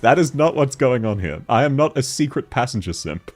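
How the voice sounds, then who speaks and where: A young man with a deep voice streaming a game, chatting casually with viewers, reading their messages aloud and laughing often, a little stuffy from hay fever. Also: lively intonation, fairly quick, soft background music. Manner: giggly, reads chat aloud and answers it, frequent laughs. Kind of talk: casual game livestream chat.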